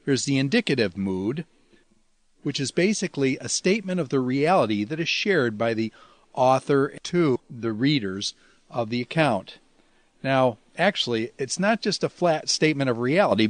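A man's voice: mid-range voice, dry recording. A man giving an instructional grammar lecture as a teacher, explaining step by step in a didactic, lightly folksy way. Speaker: teacher of New Testament Greek.

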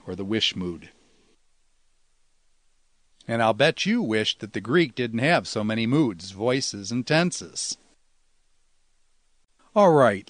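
A man's voice: medium pitch, no room sound. A man giving an instructional grammar lecture as a teacher, explaining step by step in a didactic, lightly folksy way. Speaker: teacher of New Testament Greek.

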